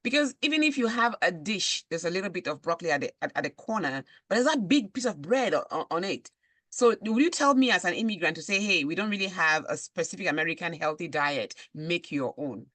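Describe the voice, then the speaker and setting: Female host, animated interviewer, dry recording. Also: lowish voice, brisk, no room sound. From Cameroon. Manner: animated questioning, frequent backchannels. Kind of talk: interview conversation.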